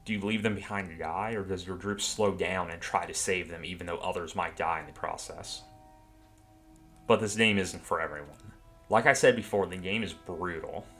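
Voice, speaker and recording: Low-voiced man narrating a review. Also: fairly bright tone. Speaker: tabletop game reviewer. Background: background music.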